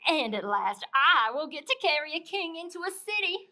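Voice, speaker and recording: Woman playing an earnest, bright-voiced puppet donkey. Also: sits high, very animated, moderate pace, exaggerated voice. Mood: hopeful, grand. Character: humble, devoted, proud female donkey hoping for glory. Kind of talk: puppet show storytelling.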